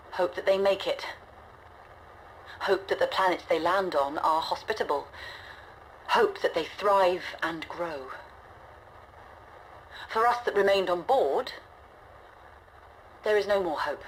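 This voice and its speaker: Actress as grave, low-voiced woman starship leader. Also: slight background noise, natural voice, rough recording. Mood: solemn. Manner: measured, grave, reflective delivery. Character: weary, resolute woman leader of a stranded generation ship. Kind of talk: scripted audio log narration, dramatic game acting.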